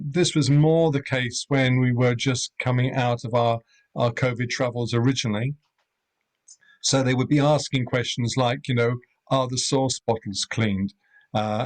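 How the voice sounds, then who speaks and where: A middle-aged man from Europe talks at a measured, unhurried pace. He pauses often and fills the gaps with ums and uhs. His voice sits at an ordinary middle pitch. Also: low-quality recording. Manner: measured, hesitant, many fillers. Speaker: rural accommodation owner. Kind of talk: webinar panel talk.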